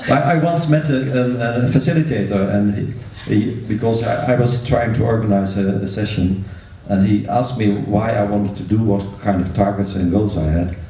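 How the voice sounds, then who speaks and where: A man's voice: fairly low pitch, moderate pace, few pauses, mellow. A man making a spontaneous, hesitant comment from the audience at a meeting, often repeating words as he goes. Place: large echoey room at a meeting. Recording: echoey hall, distant microphone.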